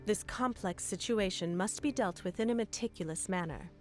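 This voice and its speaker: A woman acts out a meticulous lawyer in a story game. Her voice is middle-pitched, serious and composed, with precise formal phrasing over light music. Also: normal speed, natural voice. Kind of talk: video game voice acting.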